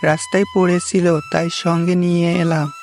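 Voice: mid-range voice, little pitch movement, normal speed, darkish tone, close to an everyday voice. A narrator voicing a poor, simple fox husband in a cartoon story, with homely, easygoing village talk. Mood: placating. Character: poor fox husband, good-natured, simple, greedy woodcutter. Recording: roomy echo with music behind.

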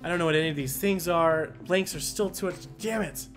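A man giving let's-play commentary, thinking aloud and bursting into excited exclamations. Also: a little high, fairly quick. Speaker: gaming commentator. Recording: game music under the voice. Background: game music.

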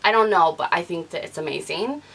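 A woman's voice: on the low side, fairly quick, gravelly, slightly rough. Gushing, chatty woman reviewing beauty products. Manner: chatty, gushing, animated with hand gestures. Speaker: beauty vlogger. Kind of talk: casual product review talk. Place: living room, gray couch, indoors. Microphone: studio mic off camera.

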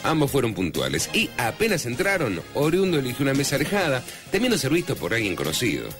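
A man's voice: medium pitch, huge pitch swings, fast. A man with an Argentine accent narrating a comic radio serial in a dramatic scripted style. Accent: Argentine Spanish. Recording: radio narration over loud music.

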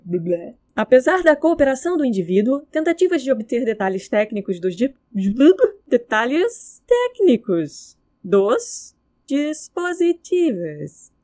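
A woman's voice: sits high, sing-song, takes it slowly, darkish tone. A Brazilian woman reading scripted narration in outtakes, slipping into casual, self-mocking muttered asides. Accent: Brazilian Portuguese. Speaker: narrator of horror fiction records.

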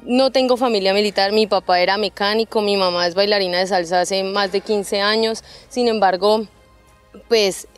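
Expressive young Colombian woman telling stories. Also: medium pitch, moderate pace, soft background music. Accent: Colombian, from Cali. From Cali, Colombia. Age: 20s. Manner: expressive, informal, acts out remembered dialogue. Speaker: army junior officer, interview guest. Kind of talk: personal storytelling in an interview. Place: open front of a military hangar. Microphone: handheld microphone.

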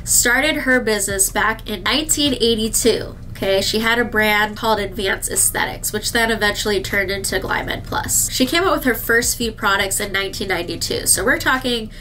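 Bright-voiced woman with a stuffy nose. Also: medium pitch, moderate pace, hardly any pauses, a little hiss or hum, poor audio. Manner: chatty, upbeat, addresses viewers directly. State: slightly nasal from a cold. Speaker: licensed esthetician reviewing skincare. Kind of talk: casual product review to camera. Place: indoor skincare treatment room.

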